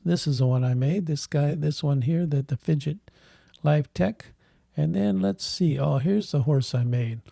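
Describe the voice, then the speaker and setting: A man hosting a tech channel, thinking aloud in halting bits while he clicks through software, with a voice that is giving out. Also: on the high side, creaky, no echo, studio quality. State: losing his voice. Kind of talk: casual screen walkthrough.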